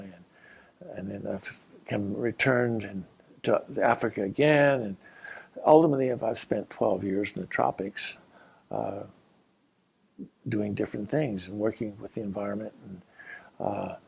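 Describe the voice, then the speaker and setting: An older man with a low, deeply gravelly and husky voice tells stories from his years abroad. He speaks unhurriedly, stopping often to search for words and filling the gaps with "uh". He sounds like a retired professor reminiscing. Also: poor audio. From Colorado, USA. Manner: unhurried, halting, with frequent pauses and "uh" fillers. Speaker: former Peace Corps volunteer and geography professor. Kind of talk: reminiscing personal story.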